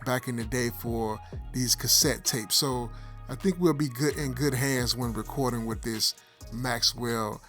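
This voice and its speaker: A man's voice: mid-range voice, fairly even intonation, unhurried, fairly bright tone. Man explaining casually over prominent music. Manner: explanatory, addressing viewers directly. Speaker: tech product reviewer. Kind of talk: casual product review and demonstration. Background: background music.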